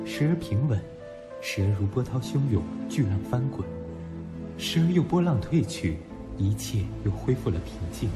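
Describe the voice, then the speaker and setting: An actor playing a young male student in an audio drama, narrating reflectively as well as speaking in dialogue. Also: lowish voice. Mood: dreamy narration. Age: young adult. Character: young male student, nostalgic, proud, teasing. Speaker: lead actor and first-person narrator. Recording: music behind the voice.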